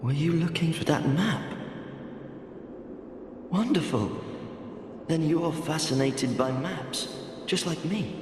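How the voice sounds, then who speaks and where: A man voicing a gentle, absent-minded wandering mapmaker in a video game, courteous and hesitant, his thoughts drifting. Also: fairly high pitch, lively intonation, slow pace, long pauses. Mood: delighted. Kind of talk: video game character dialogue. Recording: echoey long reverb, clear background noise.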